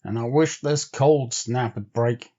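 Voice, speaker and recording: A man's voice: mid-range voice, slow pace, warm tone, highs cut off. A man voicing an uneasy, grumbling frontier sled driver with folksy speech, in a storybook reading.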